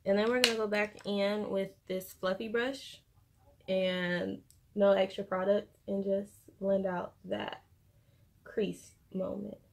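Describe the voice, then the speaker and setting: A woman's voice: mid-range voice, level tone, takes it slowly, gravelly, a little mellow. A young woman casually chatting through a makeup tutorial in an informal, slangy way, recorded in a bedroom. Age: young adult. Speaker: beauty vlogger. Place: bedroom, indoors. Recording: noticeable room noise.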